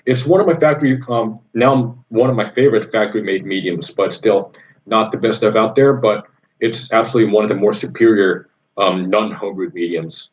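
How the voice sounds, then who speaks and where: A man's voice: lowish voice, steady tone, fairly quick. A young man from Indonesia chatting casually on a livestream, rambling with many ums and easy enthusiasm. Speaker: livestream host answering viewer questions. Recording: dull lo-fi sound.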